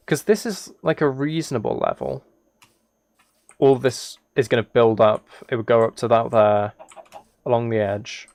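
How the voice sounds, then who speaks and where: A man chatting casually as a live game streamer, musing aloud in a relaxed, low-key way. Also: dry recording. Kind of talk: casual chat over Minecraft gameplay.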